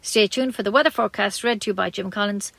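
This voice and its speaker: A woman's voice: medium pitch, quick pace, vocal fry, a bit bright, no echo. A woman with an Irish accent reading scripted local news as a community radio newsreader.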